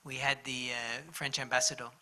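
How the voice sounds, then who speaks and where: This is an Australian man speaking at a conference panel. His voice is very bright and his pitch stays flat and even. He talks in a loose, anecdotal way, with plenty of "uh"s. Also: normal speed. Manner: relaxed, anecdotal, frequent fillers. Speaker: bank chief executive on a panel. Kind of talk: conversational panel introduction.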